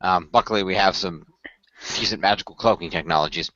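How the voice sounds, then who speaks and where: A man playing an earnest tech expert in an amateur radio play, explaining things in a wordy, halting, technical way. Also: low voice, sharp tone, no echo. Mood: concerned, explanatory. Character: earnest male tech expert of a hero team, wordy planner. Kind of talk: amateur radio play, improvised-sounding dialogue. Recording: dull lo-fi voice recording.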